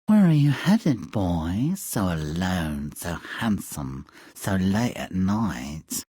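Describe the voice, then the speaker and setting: Sly old robber woman, very deep, husky, drawling, suggestive, fairy tale game. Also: very animated, takes it slowly, mellow, no echo, heavily acted. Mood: flirty.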